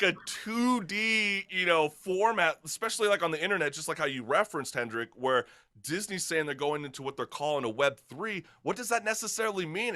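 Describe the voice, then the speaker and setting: A man hosting a talk show in a casual, animated, slangy style. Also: high-pitched.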